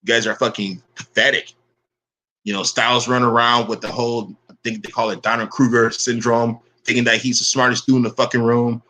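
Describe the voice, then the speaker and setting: A man's voice: mid-range voice, level tone, brisk, pushed and bright. A man ranting on a vlog, confrontational and profane, firing "bro" at his rivals. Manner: says he keeps the same voice with everyone. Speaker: online commentator. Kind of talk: angry vlog rant.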